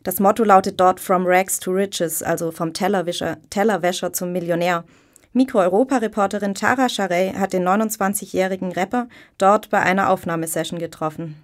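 A woman's voice: lowish voice, brisk. A woman hosting a radio magazine in a chatty, conversational moderating style. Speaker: radio host.

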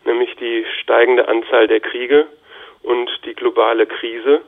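A male university lecturer explaining things in a measured, academic way, with long sentences. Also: mid-range voice, little pitch movement, phone-quality sound. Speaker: university lecturer in political science. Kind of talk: explanatory interview talk.

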